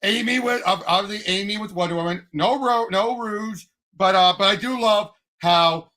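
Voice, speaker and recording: A man in casual fan chat as a show co-host, excitable and emphatic, often halting mid-thought. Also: sits high. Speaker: co-host of a movie and comics discussion show.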